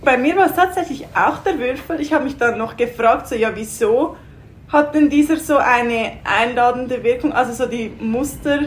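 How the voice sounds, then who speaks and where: A woman, a podcast guest speaking in a relaxed, reflective way. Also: on the high side, normal speed. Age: 20s. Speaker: guest, youth worker. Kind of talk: casual interview.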